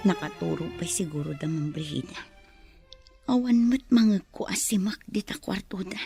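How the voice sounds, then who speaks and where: An actress playing a frightened captive woman in a radio drama, speaking in hushed, breathy whispers with a Filipino accent. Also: on the low side, animated, moderate pace, over music. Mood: urgent whisper. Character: frightened, injured captive young woman plotting escape.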